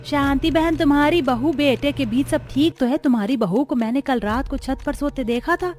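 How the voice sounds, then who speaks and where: Woman acting nosy, high-voiced neighbour auntie. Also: normal speed, darkish tone, lightly acted. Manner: prying, teasing. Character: nosy, gossiping middle-aged neighbour woman. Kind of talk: cartoon story character acting.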